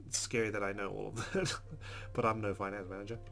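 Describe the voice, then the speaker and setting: A man's voice: on the low side, level tone, a little fast, gravelly, fairly bright tone. A man hosting a let's play, reading visual novel narration aloud. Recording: music behind the voice.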